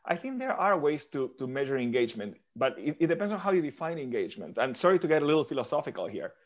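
A man's voice: on the high side, very animated, fast, muffled recording. A man from Argentina answering a podcast host's questions thoughtfully, often restarting and repeating words as he works out his point. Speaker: podcast guest. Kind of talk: interview answers.

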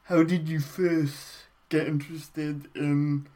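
A man's voice: fairly high pitch, slow pace. Young man hosting a podcast. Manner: relaxed, asks follow-up questions. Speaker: podcast host. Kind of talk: casual interview.

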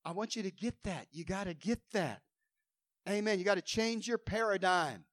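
A pastor preaching a fervent sermon, quoting scripture with rising emphasis, his voice a little hoarse. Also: sits high, moderate pace, a bit bright, dry recording, studio quality.

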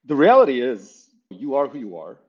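A middle-aged man from Bangladesh who grew up partly in Texas, explaining his views thoughtfully in an interview, in measured, broken-up phrases. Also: mid-range voice, wildly swooping pitch, husky. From Bangladesh, then Texas. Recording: dull, lo-fi sound.

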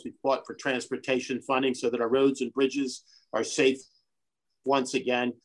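A middle-aged man from Brazil, a guest on a podcast, answering in an earnest, measured way. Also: medium pitch, a bit bright. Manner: earnest, measured, speaks of his convictions. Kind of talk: conversational interview.

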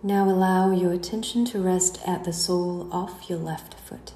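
A woman guiding a meditation in a slow, soothing delivery, letting the ends of her phrases trail away softly. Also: fairly low pitch, fairly even intonation. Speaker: guided meditation instructor. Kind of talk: slow guided relaxation narration. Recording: roomy echo, faint background noise.

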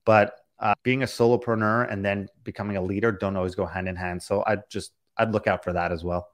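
A man with a fairly low voice talks through listener questions on his podcast. He rambles a little and restarts sentences often, in a frank and candid way. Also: flat delivery, a little fast. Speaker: podcast host and business coach. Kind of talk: candid conversational Q&A.

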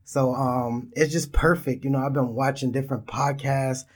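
Brief: thirties man from Israel, easygoing solo podcast host, rambles casually, middle pitch. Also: steady tone, normal speed. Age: 30s. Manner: laid-back, rambling, talks straight to listeners.

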